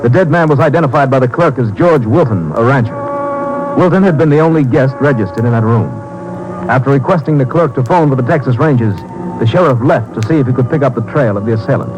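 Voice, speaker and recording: Male narrator, medium pitch, scripted forties radio drama delivery on a vintage recording. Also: quick pace, music in the background, poor audio.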